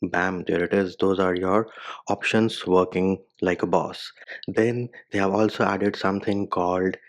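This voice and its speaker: A man's voice: sits low, fairly even intonation, unhurried. A man whose first language is Hindi, giving a step-by-step phone tutorial voice-over with casual slang. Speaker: tech reviewer.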